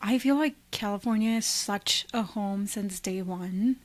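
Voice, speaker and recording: A woman's voice: mid-range voice, unhurried. Chatty young woman podcast co-host. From India. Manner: chatty, thinks aloud with many likes and restarts. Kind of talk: casual chat.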